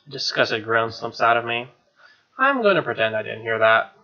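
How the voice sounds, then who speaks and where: A man's voice: medium pitch, sing-song, moderate pace, pushed and bright. A man reading a visual novel aloud, mixing story narration with casual, sweary, incredulous asides. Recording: dull lo-fi mic under guitar music.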